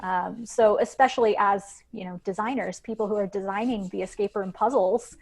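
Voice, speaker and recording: Woman explaining her research, thoughtful and measured. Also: medium pitch, fairly even intonation, a little mellow, poor audio. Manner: thoughtful, explanatory, with frequent "um"s and "you know"s. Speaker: guest researcher. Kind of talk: conversational interview answers.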